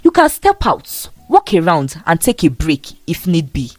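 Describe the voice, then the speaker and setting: A woman's voice: fairly low pitch, huge pitch swings, unhurried, darkish tone, dry recording, exaggerated voice. A woman storyteller voicing a male pastor who formally apologizes and restores order. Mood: placating, apologetic. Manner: formal, placating.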